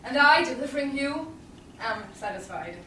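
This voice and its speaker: Woman doing composed clever shakespeare lawyer, high voice, far from the mic with room noise. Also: expressive, normal speed, sharp tone. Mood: gracious. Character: Portia disguised as a composed, clever male lawyer. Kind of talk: Shakespearean stage acting. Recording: distant echoey small theatre, background noise.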